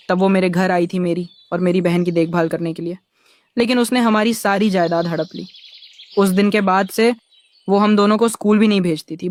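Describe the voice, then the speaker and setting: Man acting as young boy crow, cartoon, high-pitched, even intonation, earnest, polite. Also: no echo, natural voice. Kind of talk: animated children's story acting.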